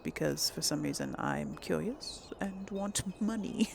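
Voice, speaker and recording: A woman's voice: mid-range voice, measured pace, vocal fry, a little hiss or hum. A woman giving casual role-play game commentary, hesitant and thinking aloud as she goes. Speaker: gaming video host.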